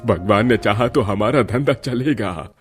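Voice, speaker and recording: A man playing a stern older village man in a cartoon, speaking in a deliberate, firm way. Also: fairly low pitch. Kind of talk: Hindi cartoon story dialogue.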